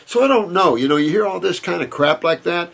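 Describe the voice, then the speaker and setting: A man's voice: sits high, expressive, a little fast, gravelly, fairly bright tone. An older man hosting a health Q&A, reading viewer questions and answering them in a rambling way, with many fillers and repeated words.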